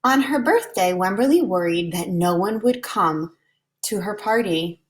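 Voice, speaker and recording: A woman reading a children's picture book aloud in an expressive, deliberate way, like a preschool teacher. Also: mid-range voice, noisy background. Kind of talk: children's storybook read-aloud.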